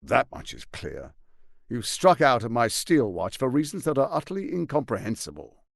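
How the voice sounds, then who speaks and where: A grandiose tyrant of a fantasy video game, speaks in a mid-pitched male voice with a creaky grain. His delivery is pompous and articulate, hugely varied in intonation, full of condescension and calculated menace. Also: huge pitch swings, normal speed, no echo, clean studio sound, heavily acted. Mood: cold, reproachful, then mocking. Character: scheming, silver-tongued tyrant archduke, grandiose and menacing. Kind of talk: theatrical video game voice acting.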